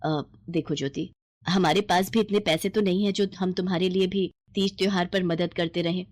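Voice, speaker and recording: A woman's voice: lowish voice, fairly even intonation, moderate pace, a little mellow, close to an everyday voice. A woman voicing a blunt, complaining, stingy middle-aged aunt in a storybook tale. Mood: curt, complaining. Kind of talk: acted storybook dialogue.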